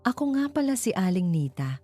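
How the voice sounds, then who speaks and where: A woman with a Filipino accent voicing a brisk, kindly elderly woman who runs a small eatery in a story. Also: mid-range voice, big pitch swings, mellow, no echo, pitched above the performer's own voice. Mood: curious. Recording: music behind the voice.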